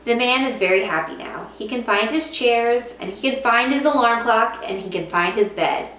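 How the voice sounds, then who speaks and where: Lady teacher reading a kids story out loud, echoey room, sits back from the mic. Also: on the high side, lively intonation, normal speed. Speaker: art teacher reading a picture book to students. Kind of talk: read-aloud children's storytelling. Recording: roomy echo, distant mic, faint noise.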